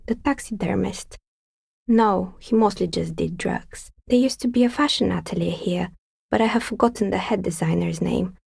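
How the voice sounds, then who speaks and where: An actress voicing a dry, sceptical woman dicemaker in a video game, with a soft, breathy, husky voice and a wry, understated delivery. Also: mid-range voice, dry recording. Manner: wry, understated, matter-of-fact. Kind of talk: video game character dialogue.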